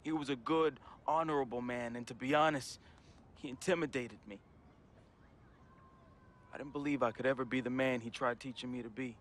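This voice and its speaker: A man's voice: medium pitch, fairly even intonation, moderate pace, pauses often, fairly bright tone, a little hiss or hum, close to an everyday voice. An actor playing a troubled young man in a game, reading a confessional farewell letter as a heartfelt voice-over with casual slang. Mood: somber. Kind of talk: emotional voice-over monologue. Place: studio voice-over.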